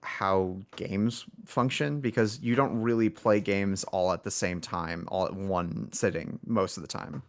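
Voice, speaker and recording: A man's voice: low voice, steady tone, unhurried. A man speaking as an indie game developer in a casual podcast conversation, rambling and correcting himself as he thinks aloud.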